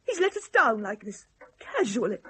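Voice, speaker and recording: A middle-aged British daughter in a radio play, her voice husky and fairly high. She is resentful and sardonic, firing pointed questions with long-held bitterness. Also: sing-song, normal speed, a bit bright, heavily acted. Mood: hurt, reproachful. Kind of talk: radio drama acting.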